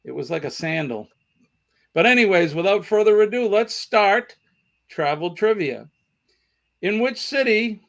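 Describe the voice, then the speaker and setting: A man with a somewhat high voice hosts a live trivia stream. He talks casually and conversationally, reading questions out and rephrasing them for the people watching. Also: lively intonation, unhurried, vocal fry, long pauses, a bit bright. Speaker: trivia livestream host. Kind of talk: casual livestream chat and quiz hosting.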